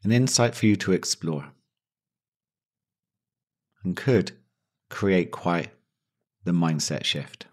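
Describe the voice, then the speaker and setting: A man guiding a yoga nidra meditation, giving gentle instructions slowly with long pauses. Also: low-pitched, expressive. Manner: slow, pausing, gentle instruction. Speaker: meditation guide.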